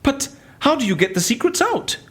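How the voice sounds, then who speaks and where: An actor playing an excitable, inquisitive schemer in an audio drama, with a theatrical, incredulous manner. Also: male voice, very high voice, normal speed, exaggerated voice. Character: excitable, inquisitive man scheming inside a prison.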